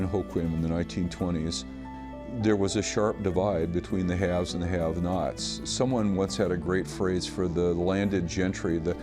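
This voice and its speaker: Very deep older American man over music. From Grays Harbor, Washington, United States. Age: 60s. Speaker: local interviewee. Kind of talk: documentary interview recollection.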